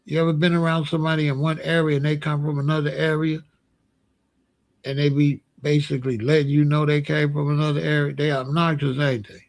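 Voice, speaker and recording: Blunt man with a higher voice. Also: flat delivery, brisk, creaky. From Chicago. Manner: blunt and opinionated, with constant rhetorical questions; loose, slangy street talk. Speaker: show host. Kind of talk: casual opinion monologue.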